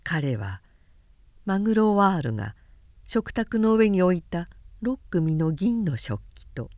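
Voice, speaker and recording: Slow-paced woman narrator, expressive intonation. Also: on the low side, dry recording, clean studio sound. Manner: measured, deliberate phrasing with pauses. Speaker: narrator reading a novel aloud. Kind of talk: literary audiobook narration.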